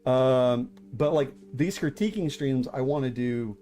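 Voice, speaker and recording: Male streamer, 30s, mid-pitched, halting casual chat, soft music bed. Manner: hesitant, many fillers and restarts, reads chat aloud. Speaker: VTuber streamer hosting writing critiques.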